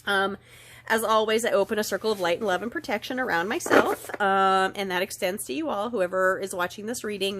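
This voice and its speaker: A middle-aged woman with a slightly stuffed-up, nasal voice, gently and earnestly giving an oracle card reading and reading passages aloud. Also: medium pitch, noisy background. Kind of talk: casual spiritual card reading.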